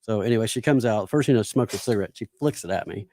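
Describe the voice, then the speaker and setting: A man's voice: lowish voice, fast talker, dark tone, slight background noise. An American man chatting casually as a podcast guest, telling slangy anecdotes and chiming in. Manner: slangy, interjecting.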